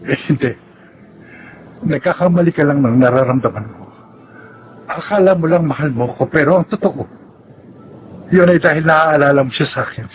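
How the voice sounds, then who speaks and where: An actor playing a gentle, sincere young husband, apologetic but firm, in radio melodrama style. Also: male voice, on the high side, moderate pace, pauses often, a little hiss or hum, lightly acted, low-quality recording. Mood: firm, regretful.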